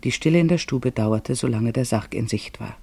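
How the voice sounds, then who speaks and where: Guy narrating a literary radio play, mellow husky voice, dry close sound. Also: medium pitch, moderate pace, no echo.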